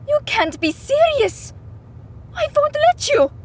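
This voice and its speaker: A woman's voice: very high voice, very animated, takes it slowly, heavily acted. A woman playing an anxious, protective middle-aged mother with earnest, emotional delivery in an audio drama. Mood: frantic.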